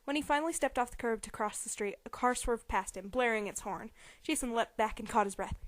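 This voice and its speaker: Giggly young woman reading aloud, fairly high-pitched. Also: fairly quick, no echo. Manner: giggly, stumbles over words. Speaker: amateur reader. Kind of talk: dramatic reading of a novel, often breaking into giggles.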